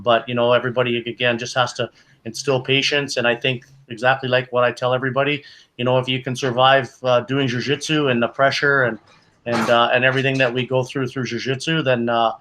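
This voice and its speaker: A man with a bright, forceful voice at an average pitch talks casually. He rambles earnestly, circling back and repeating his phrases as he goes. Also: level tone, fairly quick. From Canada. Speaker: jiu-jitsu coach. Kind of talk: casual chat.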